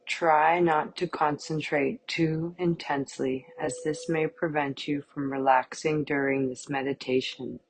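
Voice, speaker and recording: A woman guiding a yoga nidra meditation with calm, soothing, measured scripted instructions. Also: sits low, slight background noise, low-quality recording.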